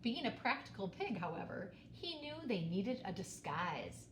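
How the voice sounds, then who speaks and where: Animated woman reading a storybook aloud, roomy echo. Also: medium pitch. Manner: dramatic, with pauses and emphasis on key words. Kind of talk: expressive children's read-aloud narration. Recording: roomy echo, faint background noise.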